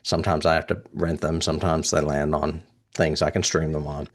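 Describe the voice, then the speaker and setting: A man's voice: extremely low pitch, little pitch movement, a little fast. A man hosting a casual film review chat, speaking with enthusiasm and often chuckling. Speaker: podcast host reviewing horror films.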